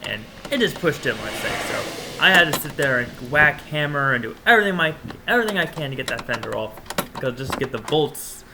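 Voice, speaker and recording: A man with a stuffy, congested voice talks through his work on a wrecked car in a garage. He rambles informally and corrects himself mid-sentence. His pitch sits in an ordinary middle range, and faint vehicle and shop sounds hang in the background. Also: lively intonation, a little fast, background noise. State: congested after being sick. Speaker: vlogger working on his race car. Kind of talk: casual how-to narration.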